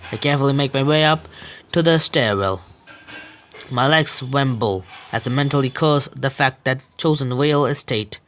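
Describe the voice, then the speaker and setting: A man's voice: mid-range voice, fairly bright tone, clean tone. A young man streaming a horror visual novel, reading the text aloud with stumbles and breaking off into swearing, joking asides. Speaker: game streamer.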